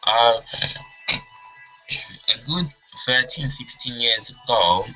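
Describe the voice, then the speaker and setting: A man in his twenties from Congo who grew up partly in England, a non-native English speaker presenting an informal personal lesson in halting phrases. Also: mid-range voice, expressive, pushed and bright. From Congo, then England. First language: not English. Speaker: course presenter, trainer. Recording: dull lo-fi audio, music behind.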